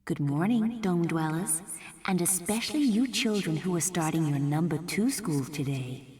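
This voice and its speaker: A woman's voice: lowish voice, lively intonation, moderate pace, a little mellow, echoey room, long reverb tail, lightly acted. Woman as a kindly broadcast announcer. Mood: cheerful, patronising. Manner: smooth, patronising, kindly. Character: kindly official announcer on futuristic broadcast screen.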